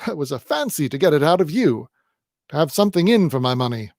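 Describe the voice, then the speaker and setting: A male reader voicing a spiteful, fawning miserly old man, shrill, cracked and out of breath. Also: big pitch swings, quick pace, a little mellow, no echo, studio quality.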